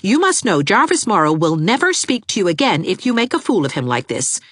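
A brisk, sharp-tongued young woman giving crisp, sarcastic orders, as a woman reader plays her. Her voice rides a little higher than the reader's own with lively pitch, and the sound is dry and close like a storybook reading. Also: dry recording.

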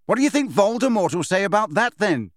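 A man voicing an angry, defiant teenage boy wizard in a storybook, shouting and taunting his enemy. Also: sits high, sing-song, fairly quick, no echo, clean studio sound, pitched above the performer's own voice. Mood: mocking, angry.

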